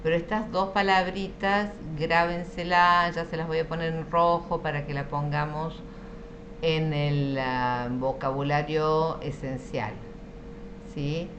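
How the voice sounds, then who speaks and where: Slow, low-voiced Argentine woman teaching. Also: little pitch movement, background noise. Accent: Argentine Spanish. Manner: patient, explanatory, checks understanding with frequent "¿sí?". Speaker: French teacher. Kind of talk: online class lecture.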